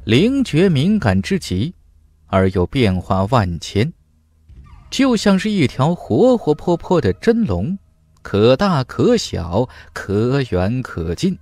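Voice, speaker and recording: A man narrating a fantasy audiobook in a dramatic scripted style, with emphatic, deliberate delivery. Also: medium pitch, wildly swooping pitch, darkish tone, dry recording.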